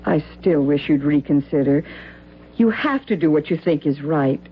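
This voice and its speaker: A woman's voice: sits low, expressive, moderate pace, breathy edge. An actress playing an anxious, loyal wife and mother in a radio drama, earnest and pleading. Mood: worried, proud. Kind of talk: vintage radio drama acting. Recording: dull old radio recording with hum.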